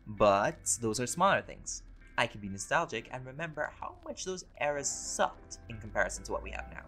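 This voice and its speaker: A man's voice: medium pitch, sing-song, moderate pace, fairly bright tone, faint music behind. A man playing a laid-back, vain, centuries-old vampire in a conversational roleplay audio drama, with casual, sweary, bantering delivery. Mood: earnest, amused.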